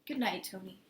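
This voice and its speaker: A woman performing a stage monologue as an earnest, flustered young woman in love, speaking haltingly with stammers. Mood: anguished, pleading. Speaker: amateur actor performing a monologue. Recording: echoey room with faint noise.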